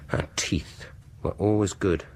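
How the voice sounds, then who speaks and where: An actor playing a menacing dentist bent on revenge in a radio horror drama, deliberate and politely taunting. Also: male voice, low voice, fairly even intonation, vocal fry, slight background noise, exaggerated voice. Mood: cold, quiet menace. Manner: soft-spoken politeness turning sinister, deliberate and taunting.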